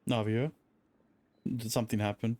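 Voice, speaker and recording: A man's voice: on the low side, flat delivery, normal speed, mellow, a little hiss or hum. A man streaming a video game, giving casual, joking reactions in a hesitant, stammering way.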